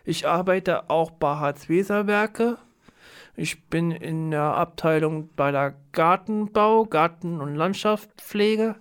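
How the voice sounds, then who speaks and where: A man co-hosting a podcast, putting prepared questions and speaking in simple, deliberate phrases. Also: a little high, clear, resonant tone. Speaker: podcast co-host.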